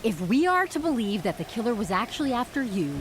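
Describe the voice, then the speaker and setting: An actress playing a persistent, wry young amateur investigator in an audio drama, insistent and probing. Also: female voice, on the high side, big pitch swings, fast talker, background noise. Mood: probing, earnest. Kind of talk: scripted audio drama dialogue. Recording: loud storm ambience behind voice.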